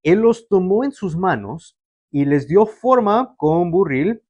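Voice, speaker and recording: A man's voice: high-pitched, sing-song, measured pace, no room sound, highs cut off. A young man born in Canada, preaching a sermon to a livestream audience in a conversational way, with fillers and restarts. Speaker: pastor.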